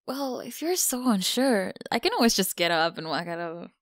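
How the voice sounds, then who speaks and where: A woman voicing a mean, teasing tsundere college bully in an intimate ASMR roleplay, creaky and often dropping into breathy whispers. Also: medium pitch, big pitch swings, measured pace, dry recording, studio quality. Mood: smug, softer.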